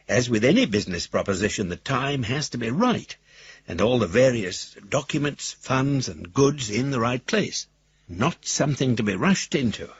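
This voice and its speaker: A man's voice: mid-range voice, slightly rough, dry recording, dull audio, heavily acted. An actor playing a philosophical, courtly businessman in love, reading his formal, wistful letter aloud in a radio drama. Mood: courteous, reflective.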